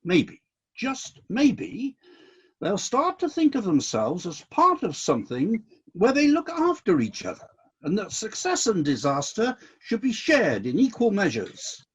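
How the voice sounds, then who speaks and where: A disillusioned God played by a man rules heaven in a radio play, indignant and commanding. The voice sits high for a male, swings widely in pitch and has a husky grain. The delivery is deliberate and decisive. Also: huge pitch swings, muffled recording, heavily acted. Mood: firm, hopeful.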